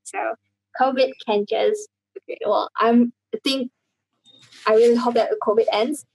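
A woman's voice: fairly high pitch, steady tone, measured pace, gravelly, a little mellow, breathy edge, no echo, low-quality recording. A woman from Indonesia, a guest talking casually over a video call, rambling with many fillers and restarts.

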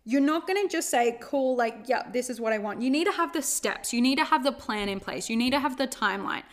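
A young woman talks through life advice on her podcast. Her voice sits a little high, and she speaks casually and quickly, full of fillers and side tangents. It sounds like an easygoing solo chat. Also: animated. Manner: fast, chatty, peppered with "like" and asides. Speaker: podcast host. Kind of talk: casual motivational monologue.

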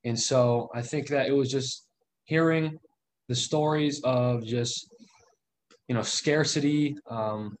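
A man's voice: mid-range voice, slow pace, a little hiss or hum. A man in his twenties, a guest in a casual interview, earnest and loose with fillers. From Southern California. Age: 20s. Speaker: guest, real estate investor.

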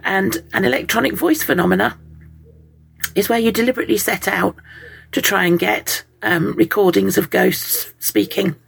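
A woman, croaky from a summer cold, calmly explaining a topic to podcast listeners. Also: medium pitch, normal speed. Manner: steady, explains terms plainly, apologetic about her voice. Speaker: podcast co-host. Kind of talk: casual explanatory talk.

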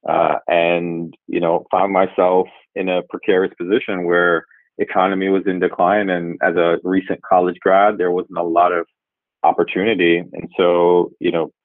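A man in his forties from Jamaica, a guest speaking in a measured, thoughtful way and often pausing to rephrase. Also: deep voice, little pitch movement, no room sound. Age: 40s. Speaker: guest, revenue executive. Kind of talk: reflective interview answers. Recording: tinny phone-like line, dry.

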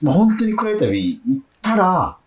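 A man's voice: high-pitched, huge pitch swings, moderate pace. A man hosting a casual radio chat, informal in his questions and often chiming in with agreement. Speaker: radio host interviewing guests. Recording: roomy sound with faint music.

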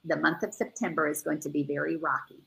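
Woman, from the Dominican Republic, gravelly, bright and tense, earnest, repeats herself as she thinks, video call. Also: deep voice, flat delivery, quick pace, poor audio. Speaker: guest. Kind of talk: casual spiritual chat.

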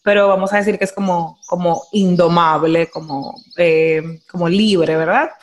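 A young Dominican woman with a Dominican Spanish accent, hosting a podcast in animated casual chat, slipping into English phrases. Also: lowish voice, normal speed. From Dominican Republic. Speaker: podcast host.

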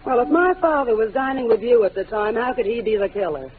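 A woman's voice: a little high, animated, quick pace, lightly acted. An actress playing a poised, defensive young woman in a 1940s radio melodrama, genteel and theatrical. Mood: indignant, guarded. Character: poised, defensive young woman shielding her guilty father. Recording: tinny, noisy old radio broadcast.

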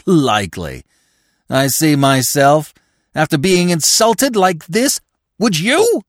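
A man's voice: fairly high pitch, big pitch swings, takes it slowly, no echo, clean studio sound, pitched above the performer's own voice. A man voicing an irascible, sarcastic, affronted club guest in a comic audiobook, snapping and ranting indignantly. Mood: furious.

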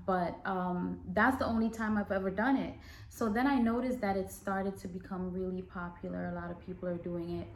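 A woman from Guyana hosting a podcast, talking casually and with emphatic, animated storytelling. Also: mid-range voice, brisk. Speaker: podcast host.

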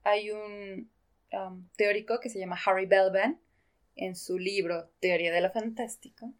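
A woman's voice: medium pitch, steady tone, unhurried. A woman co-hosting a casual discussion about literature, explaining things and picking her words carefully. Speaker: podcast co-host.

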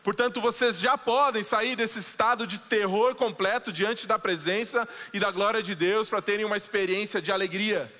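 A man preaching a sermon as pastor, slowing down and dropping low for dramatic quoted lines. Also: fairly even intonation, sharp tone, long reverb tail. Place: church sanctuary.

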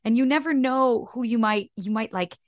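A woman's voice: a little high, normal speed, no room sound, studio quality. A woman in her thirties from Canada whose first language is French, chatting in a casual interview and telling stories with many "like" fillers. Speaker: actress, podcast guest.

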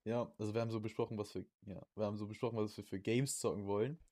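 A young man co-hosting a podcast in casual chat, using youth slang and often halting to search for words. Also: lowish voice, fairly even intonation, dark tone, no room sound. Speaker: podcast co-host.

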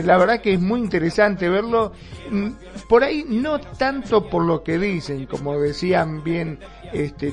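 A man's voice: on the high side, vocal fry. A man with an Argentine accent co-hosting a casual radio show, explaining things in a searching, stop-and-start way. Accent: Argentine Rioplatense. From Mar del Plata, Argentina.

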